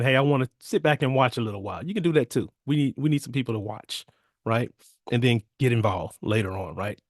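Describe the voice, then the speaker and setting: A man presenting an investment opportunity on a video call, losing his voice and clearing his throat often. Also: medium pitch, darkish tone, no echo, studio quality. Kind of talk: upbeat sales pitch on a video call.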